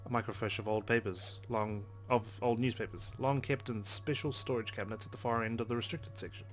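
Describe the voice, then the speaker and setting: A man's voice: fairly low pitch, steady tone, fairly quick. A man reading visual novel narration aloud dramatically as a let's play host, with brief casual asides. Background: game background music.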